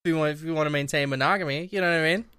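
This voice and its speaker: A man's voice: on the high side, rapid-fire, sharp tone, smooth, dry recording. A man co-hosting a podcast, reading out listener comments and adding dry wisecracks. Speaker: podcast co-host. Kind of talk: casual advice chat.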